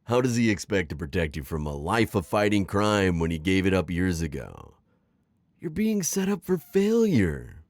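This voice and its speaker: A man's voice: deep voice, wildly swooping pitch, moderate pace, no echo, clean studio sound, exaggerated voice. A man playing a sly, teasing, middle-aged retired magical villain in an ASMR roleplay, theatrical and coaxing in his delivery. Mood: coaxing, disapproving. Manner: theatrical, teasing, manipulative coaxing with sighs and chuckles. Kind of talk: scripted ASMR roleplay acting.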